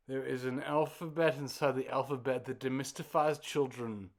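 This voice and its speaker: A man reciting his own poem as spoken word, in deliberate, broken phrases with halting pauses and repeated words. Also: mid-range voice. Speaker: poet reciting own work.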